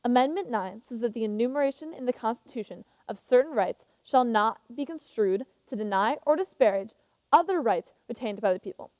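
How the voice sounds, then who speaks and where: A woman's voice: a little high, animated, fairly quick, a little mellow, natural voice. A woman playing an eager, passionate teenage girl giving an earnest, emphatic contest speech in a theatrical monologue. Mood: earnest, excited. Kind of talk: theatrical monologue as prepared oration. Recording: muffled, reverberant hall recording.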